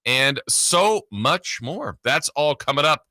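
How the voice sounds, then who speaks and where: A man's voice: a little high, lively intonation, slow pace, sharp tone, no echo. A man hosting a sports radio show, chatting casually in a rambling, conversational way close to the mic. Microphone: close studio broadcast mic.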